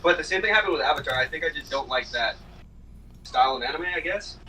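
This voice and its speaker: A man's voice: on the high side, animated, fast talker, sharp tone. A man in a casual, playful chat among gamers, rambling and trailing off. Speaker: player. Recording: distant mic with faint hiss.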